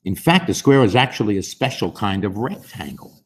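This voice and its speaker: A man reading as a patient, husky kindergarten teacher. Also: fairly low pitch, sing-song, moderate pace. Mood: instructive. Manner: patient, instructive. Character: gentle kindergarten teacher, a woman, patient and encouraging.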